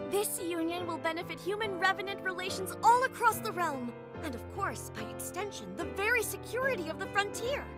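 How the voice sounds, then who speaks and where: A woman playing a haughty, overprotective noble sister in a video game cutscene, speaking in a formal, dramatic manner. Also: very high-pitched. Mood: eager, scheming.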